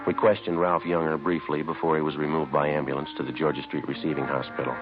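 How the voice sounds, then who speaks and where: Terse police detective, American, bottomless low voice, deadpan, clipped delivery, old-time radio drama, dull vintage sound. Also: male voice. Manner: deadpan, matter-of-fact. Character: terse, businesslike male police detective narrating his case. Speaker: detective narrator. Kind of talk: 1950s radio crime drama, clipped narration. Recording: muffled vintage radio recording, music behind.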